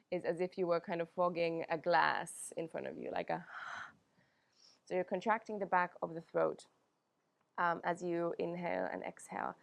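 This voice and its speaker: Calm gravelly-voiced yoga teacher, a woman. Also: lowish voice, dry recording. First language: Finnish. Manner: calm, unhurried, many "um"s. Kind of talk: guided yoga class instruction.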